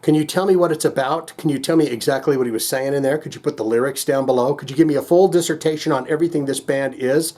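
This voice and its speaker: A man's voice: mid-range voice, fast talker, few pauses, a little mellow. A man hosting a music reaction video, chatting casually to viewers in a rambling, jokey way and often interrupting himself.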